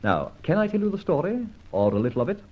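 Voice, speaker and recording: An actor playing a masked, mocking yet courteous gentleman abductor in an old-time radio detective drama, with a theatrical, urbane, teasing delivery. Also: on the high side, very animated, fast, breathy edge, muffled recording. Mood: wry, persuasive.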